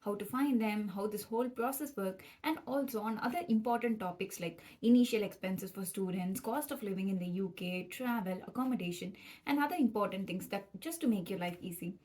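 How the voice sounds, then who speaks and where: A woman's voice: mid-range voice, brisk, a little mellow. A young woman, a native Tamil speaker with a Tamil accent, presenting an educational explainer step by step as a student addressing her viewers. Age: young adult.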